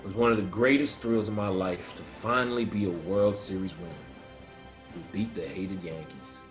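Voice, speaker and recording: Male actor, lowish voice, proud intense sports-hero dad, table-read drama over music. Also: steady tone, normal speed, natural voice, rough recording. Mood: proud, reflective. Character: proud, demanding baseball-star father, earnest and intense.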